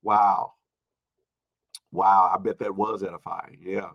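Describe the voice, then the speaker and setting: A man in his early fifties speaking as a pastor in an informal sermon, repeating phrases for emphasis and moving between loud and hushed delivery. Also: sits low, level tone, slow pace, gravelly, no echo, lo-fi sound. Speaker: pastor addressing an online congregation. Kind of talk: informal sermon with personal anecdotes and replies to viewer comments.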